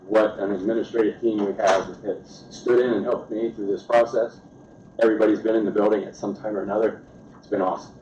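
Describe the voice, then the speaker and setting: A man at a school board meeting speaks plainly and gratefully, with halting restarts. His voice is low and warm. The recording is roomy, slightly dull, with faint hiss. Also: little pitch movement. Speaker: school administrator thanking staff. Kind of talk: informal remarks at a meeting. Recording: echoey, dull meeting-room sound.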